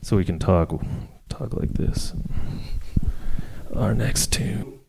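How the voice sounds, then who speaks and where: American co-host, gravelly low-pitched voice, chatty and playful. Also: male voice, background noise. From United States. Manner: chatty, joking, quick asides. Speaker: podcast co-host. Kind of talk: live podcast interview. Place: jazz club before live audience.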